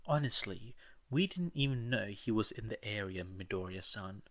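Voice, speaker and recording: A man voicing a courteous, diplomatic gentleman villain with formal, polite manners. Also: lowish voice, lively intonation, normal speed. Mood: tactful.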